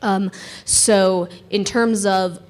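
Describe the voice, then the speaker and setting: A woman speaking as a panelist at a marketing conference, explaining her business in a measured way with frequent ums. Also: mid-range voice, fairly even intonation, a little mellow. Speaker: panelist, ticketing company director.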